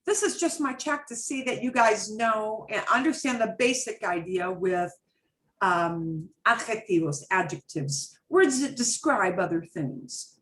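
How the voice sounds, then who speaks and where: A woman teaches a beginners' Spanish class over a video call. She is chatty and patient, and she drops Spanish words and phrases into her English explanations. Her pitch is medium for a woman. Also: animated, measured pace.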